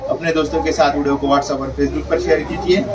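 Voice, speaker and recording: A man's voice: on the high side, little pitch movement, fairly quick. A man in his thirties, a laundry shop owner, casually explaining a task step by step to his viewers as friends, recorded indoors in a small shop. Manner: chatty, keeps addressing viewers as friends. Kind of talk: casual how-to demonstration. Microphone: camera mic at a distance. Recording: distant mic with street and traffic noise.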